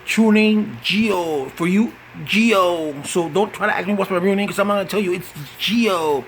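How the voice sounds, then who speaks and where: A man's voice: high voice, measured pace, a bit bright, slight background noise. A man from the Bronx with a New York accent, telling stand-up stories in loose, profane street talk and acting out the people he quotes. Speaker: stand-up comedian. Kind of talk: stand-up storytelling.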